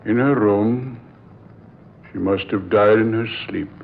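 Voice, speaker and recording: A grave, solicitous doctor in an old radio play. His voice is on the low side and very slow, with a solemn, measured delivery. The recording is narrow and tinny. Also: creaky, heavily acted. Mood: grave, soothing. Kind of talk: old radio drama acting.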